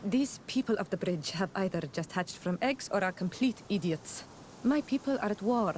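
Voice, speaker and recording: A woman's voice: medium pitch, animated, normal speed, a little mellow, slight background noise, natural voice. A woman playing a proud, fiercely protective young native clanswoman in a video game, blunt and accusing, with solemn diction. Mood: scornful, grave. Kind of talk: video game cutscene acting.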